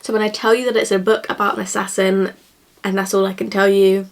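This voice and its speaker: A woman's voice: medium pitch, level tone, brisk, slight background noise. Young woman with a stuffy nose. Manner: rambling, chatty, lots of "like" and "kind of". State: blocked nose from a cold. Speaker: book reviewer. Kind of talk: casual chatty vlog.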